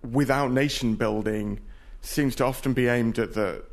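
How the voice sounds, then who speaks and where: A man's voice: medium pitch, moderate pace, creaky. A man giving off-the-cuff political analysis as an expert panelist at a live event. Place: live event space before an audience.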